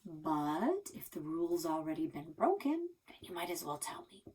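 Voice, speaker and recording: A woman's voice: deep voice, sing-song, moderate pace, darkish tone, breathy edge, full character voice. A woman voicing a bookish, boyishly enthusiastic father and history buff from a children's novel, eager to explain. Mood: conspiratorial.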